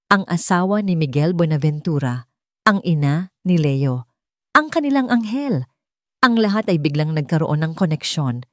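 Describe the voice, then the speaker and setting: Low-pitched woman narrating a dramatic story. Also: darkish tone, no room sound, studio quality.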